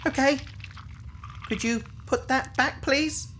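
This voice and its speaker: A woman's voice: sits high, fairly even intonation, takes it slowly, a bit bright, a little hiss or hum. A woman playing an indignant, pleading captive in a science fiction audio drama, speaking in an earnest, protesting way. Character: indignant, pleading female captive victim on operating table.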